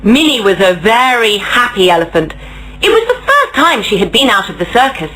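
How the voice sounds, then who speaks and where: A woman storyteller narrating a scripted children's story in a deliberate, expressive read-aloud manner. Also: medium pitch, slight background noise, rough recording.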